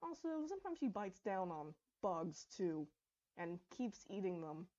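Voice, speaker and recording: A woman's voice: fairly low pitch, sing-song, a little slow, mellow. A fond, talkative pony princess and young mother, played by a woman reading aloud. She sounds conversational in a storybook tale, on a slightly dull recording. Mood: fond, chatty. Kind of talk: acted dialogue in fan fiction storybook reading.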